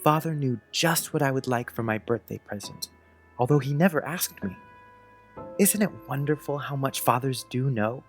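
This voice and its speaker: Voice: medium pitch, moderate pace, natural voice. An actor playing an earnest, precocious young schoolboy who chats dreamily in quaint grown-up phrases, in a dramatized audiobook. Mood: tender pride. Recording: music under the voice.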